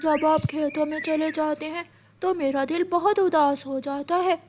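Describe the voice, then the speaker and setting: A woman's voice: high voice, steady tone, a little slow, darkish tone, a little hiss or hum. A woman voicing a devoted, sulky cow wife in a cartoon, tender and pouting. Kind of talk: cartoon voice acting.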